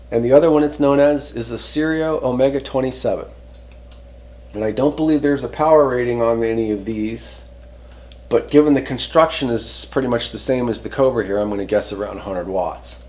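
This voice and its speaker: A man presenting a product review in casual, unscripted talk, rambling conversationally and often pausing to rephrase himself. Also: medium pitch, a little mellow. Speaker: host reviewing CB radio antennas.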